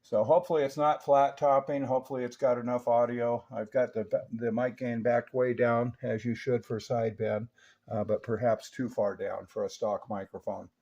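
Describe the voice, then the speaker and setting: An older American man with a mellow, dark voice chats in a folksy way as a CB radio operator. He speaks in casual check-ins full of radio lingo. The sound is slightly dull and lo-fi. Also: medium pitch, little pitch movement, moderate pace. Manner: folksy, chatty, jargon-filled. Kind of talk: casual radio check-in chat using CB jargon. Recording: dull, lo-fi audio.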